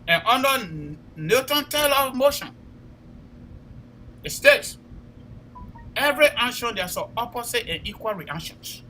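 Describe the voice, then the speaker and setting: A man's voice: very high voice, expressive, unhurried, long pauses, bright, forceful tone, a little hiss or hum, low-quality recording. A man with a Liberian accent giving impassioned political commentary, speaking emphatically and exhorting listeners with repeated phrases. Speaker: co-host of a political talk show.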